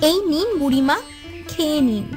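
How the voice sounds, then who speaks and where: A woman as a high, lively young wife. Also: moderate pace, faint music behind. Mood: kind. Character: younger village co-wife, helpful, kind, obedient. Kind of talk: cartoon voice acting.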